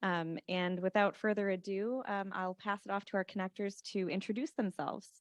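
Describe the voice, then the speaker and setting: A woman's voice: medium pitch, steady tone, normal speed, no echo, studio quality. A woman on city staff presenting a program and introducing speakers at a council meeting.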